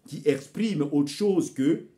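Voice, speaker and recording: Exhorting man, mellow voice. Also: on the high side, expressive, slow pace. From Congo. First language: Bembe. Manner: exhorting, addresses listeners as brothers and sisters; repeats words while searching for phrasing. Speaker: vlogger addressing his viewers. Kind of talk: impassioned direct-to-camera commentary.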